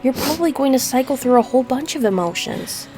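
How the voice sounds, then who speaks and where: Woman acting a higher-voiced, nurturing, soothing friend. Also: animated, fast, a little mellow, faint music behind. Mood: gently reassuring. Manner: soothing, reassuring, tender. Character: gentle, nurturing woman friend comforting someone heartbroken. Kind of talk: comforting audio roleplay acting.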